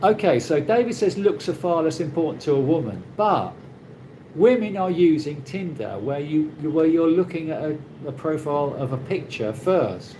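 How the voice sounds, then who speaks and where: A British man hosting a casual live chat, reading out viewer comments and answering in a rambling, halting way with many restarts. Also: on the high side, lively intonation, warm tone. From Britain. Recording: noisy ambient background.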